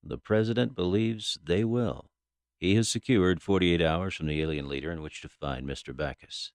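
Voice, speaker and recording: An actress playing a stern, businesslike FBI agent in an audio drama, questioning in a formal, clipped manner. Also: female voice, rumbling low, normal speed, darkish tone, no echo. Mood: grave, firm.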